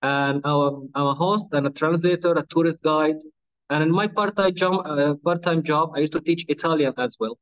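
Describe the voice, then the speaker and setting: A man's voice: fairly high pitch, normal speed, fairly bright tone. A man in his thirties from Palestine, Arabic his first language, answering interview questions in plain English with small slips, on a video call from a small room. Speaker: webinar guest. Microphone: laptop webcam on a video call. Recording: dull lo-fi video call audio.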